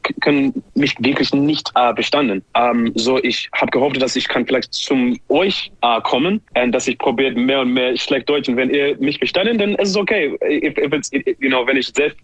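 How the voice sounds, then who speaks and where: A guest singer with an English-speaking accent, native English, talking casually in halting German with many fillers, slipping into English words and laughing often. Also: male voice, medium pitch, a bit bright, dry recording, muffled recording. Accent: English-speaking, learner's German. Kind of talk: casual radio interview.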